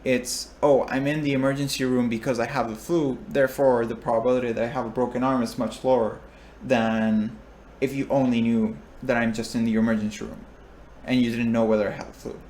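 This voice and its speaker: A man's voice: mid-range voice, little pitch movement, a little fast, few pauses, a little mellow, slight background noise. A young man explaining a probability lesson, thinking aloud with restarts and checking in with his listeners. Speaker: recitation instructor. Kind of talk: explaining a lecture topic.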